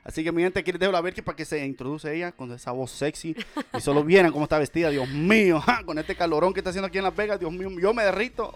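A man hosting a casual Spanish-language radio podcast, talking with energetic, repetitive, playful hype. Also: on the high side, very fast. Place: home studio in Las Vegas.

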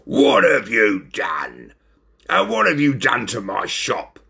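Guy doing a grumpy bulldog shop owner in a kids story, growly and gravelly. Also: medium pitch, wildly swooping pitch, slow, bright, forceful tone, exaggerated voice. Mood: angry. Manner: growling, blustering. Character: gruff bulldog tea shop owner, stern boss.

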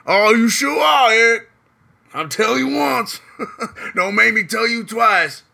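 A hoarse man voicing a rough Southern outlaw cowboy, drawling through a rambling diary confession. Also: very high voice, lively intonation, takes it slowly, pushed and bright, pitched above the performer's own voice, heavily acted. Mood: menacing. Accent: put-on American Southern.

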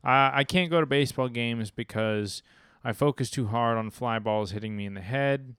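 A man's voice: mid-range voice, normal speed, fairly bright tone, clean tone, dry recording, clean studio sound. A young man hosting a casual podcast on a handheld mic, rambling with restarts and casual swearing while addressing listeners. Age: young adult. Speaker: podcast host. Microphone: handheld mic with pop filter.

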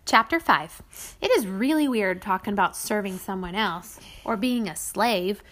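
A woman's voice: mid-range voice, expressive, moderate pace, fairly bright tone. A woman reading her own children's religious book aloud as its author, in a teacherly way, often stopping to put questions to her children.

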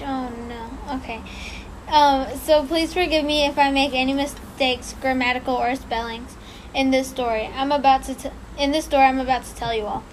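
A woman's voice: high-pitched, slight background noise, rough recording. A woman co-hosting a casual chat who reads a story aloud, stumbling and apologizing and laughing at her slips. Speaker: podcast co-host reading a story.

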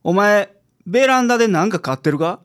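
A man playing a gruff, self-assured private detective with a Kansai accent in a radio drama. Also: sits high, expressive, a little fast, fairly bright tone. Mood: gruff, suspicious. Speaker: cast member of a community radio show.

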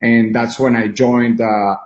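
A man from Venezuela speaking as an interview guest in a conversational, rambling, halting way over a video call. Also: medium pitch, little pitch movement. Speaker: interview guest, film director and musician. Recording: roomy, dull video call audio.

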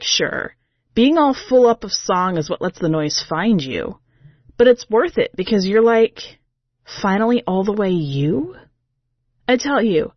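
A woman's voice: mid-range voice, no room sound, highs cut off. A woman reading a first-person story as a podcast host, in a colloquial, streetwise way.

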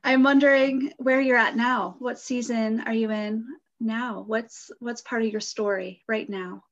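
Through slightly dull, lo-fi audio, a woman with a fairly high voice hosts an interview. She speaks informally and conversationally, affirming her guest as she asks questions. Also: fairly even intonation, unhurried. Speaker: podcast host interviewing a guest.

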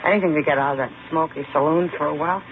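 An American actress playing a feisty, quick-tempered, wry frontier woman in a 1950s radio western. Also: deep voice, lightly acted. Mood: rueful, embarrassed. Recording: tinny, noisy 1950s radio broadcast recording.

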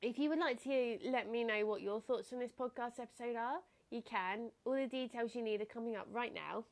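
A young woman talks alone into a podcast mic, her voice pitched a little high and a bit nasal, as if stuffed up. She muses casually and reflectively and breaks into laughter easily. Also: brisk. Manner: chatty, reflective, laughs often. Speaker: podcast host. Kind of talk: casual solo podcast talk.

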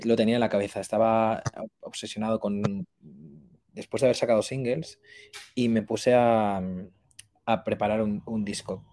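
A young man with a slightly low voice talks casually as a guest in an interview. He thinks aloud in a halting, colloquial way, often rewording his phrases as he goes. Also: mellow, dry recording. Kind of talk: casual chat.

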